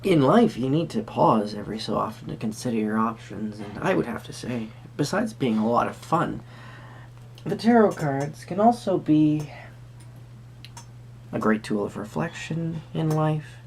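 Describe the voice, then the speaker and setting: A performer playing a quirky, friendly tarot reader at a Renaissance fair, speaking to the viewer in halting, theatrical phrases. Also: medium pitch, expressive, vocal fry, darkish tone, a little hiss or hum. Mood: whimsical, musing. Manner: hesitant, theatrical, halting phrasing with sudden emphasis. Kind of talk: improvised first-person roleplay addressed to the viewer.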